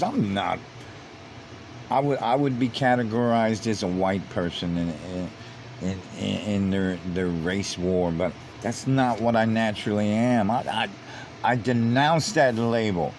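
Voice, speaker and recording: A man with a fairly low voice talks through online comments in a loose, rambling way, stumbling and repeating words as he builds to emphatic outbursts. A steady hum of air conditioning sits clearly under the recording. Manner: repeats words and restarts, grows heated and emphatic. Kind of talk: casual commentary.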